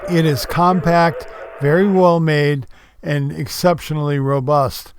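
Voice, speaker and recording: Casual man reviewing gear, slightly high voice. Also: steady tone, unhurried, noisy background. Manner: informal, explanatory. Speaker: product reviewer. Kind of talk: casual product review narration.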